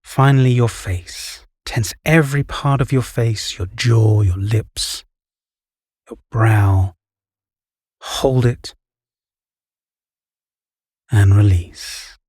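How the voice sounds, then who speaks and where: A man guiding a relaxation meditation, giving calm, soothing, measured instructions. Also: fairly low pitch, slightly rough, dry recording, studio quality. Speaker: meditation guide. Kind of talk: guided relaxation meditation, scripted narration.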